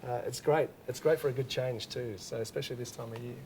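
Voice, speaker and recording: A man's voice: medium pitch, steady tone, fast, noisy background. A man hosting a live online whisky masterclass, upbeat and laughing often. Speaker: host.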